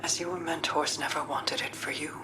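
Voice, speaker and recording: An actress playing a regal, knowing queen in a video game drama, speaking with calm, solemn and piercing deliberation. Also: low-pitched, moderate pace, recorded from a distance, very noisy, lightly acted.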